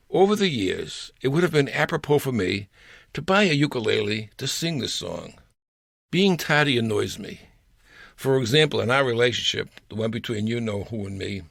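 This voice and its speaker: A man's voice: medium pitch, gravelly, a bit bright, dry recording, clean studio sound. An older man reading his own humorous personal story aloud, as a scripted piece.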